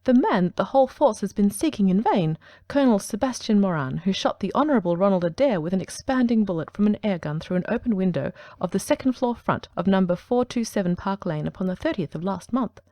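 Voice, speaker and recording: A woman voicing a brilliant male detective who speaks in a brisk, precise, ironic way, in an audiobook reading. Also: mid-range voice, lively intonation, dark tone, no room sound, natural voice.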